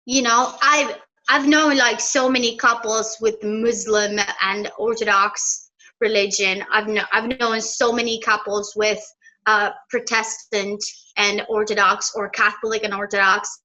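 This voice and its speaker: A woman's voice: medium pitch, little pitch movement, unhurried, pushed and bright, muffled recording. A young woman from Ethiopia, an Amharic speaker, chatting earnestly as an interview guest. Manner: open and earnest, light laughs. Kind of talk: casual interview chat.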